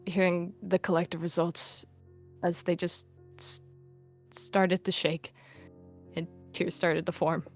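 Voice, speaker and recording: A woman's voice: lowish voice, flat delivery, slow pace, soft background music. A woman narrating her part in a roleplay story in a subdued way.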